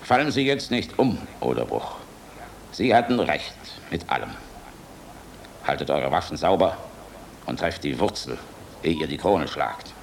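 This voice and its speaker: An actor playing a weary, resolute air force general in a German radio drama, grave and clipped. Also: male voice, extremely low pitch, big pitch swings, measured pace, gravelly, pauses often, noisy background, exaggerated voice. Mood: bitter, final.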